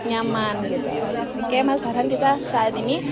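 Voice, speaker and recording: A woman's voice: on the high side, steady tone, moderate pace. A young woman speaking as a student counselor, politely and reassuringly asking questions in a counseling session. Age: young adult. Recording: busy public hall, loud crowd chatter.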